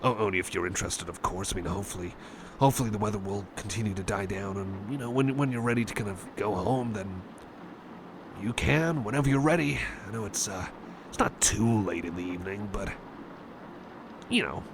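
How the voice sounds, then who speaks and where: ASMR roleplay casting: anxious male cyborg pal played by a man, creaky voice, hesitant and rambling, over clear background noise. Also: fairly low pitch, a little fast, close to an everyday voice. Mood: relieved, shyly eager. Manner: stammering, hesitant, apologetic, rambling. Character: anxious, friendly male cyborg buddy frightened of thunderstorms. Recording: noisy, storm-like ambience behind.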